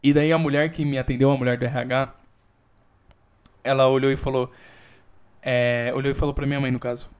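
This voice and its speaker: A young man with a Brazilian Portuguese accent, hosting a casual solo podcast from home, telling a story with lots of swearing and sudden loud outbursts. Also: mid-range voice, flat delivery, fairly quick, pauses often, clear, resonant tone. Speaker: podcast host.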